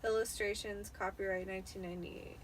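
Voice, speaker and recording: A woman's voice: mid-range voice, little pitch movement, brisk. Tipsy woman chatting over room noise. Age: 30s. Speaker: host reading a book aloud. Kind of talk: casual chat with dramatic book reading. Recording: clearly noisy room ambience.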